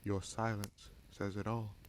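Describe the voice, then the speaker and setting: A man playing a bitter, scheming prince in a fantasy audio roleplay, speaking deliberately with sardonic menace and dramatic pauses. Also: on the low side, flat delivery, a little hiss or hum, close to an everyday voice. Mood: cold, challenging. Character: bitter, scheming bastard prince commanding a captive dragon.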